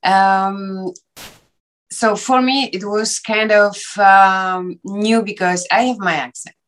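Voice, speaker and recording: A woman speaking English with a Polish accent, answering questions as a guest on a talk show. She often stops to search for words and fills the gaps with ums. Her pitch and delivery sit in the middle range. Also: fairly even intonation, a bit bright. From Poland. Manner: halting, searching for words, many fillers. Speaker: actress and director. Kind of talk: interview guest, casual conversation.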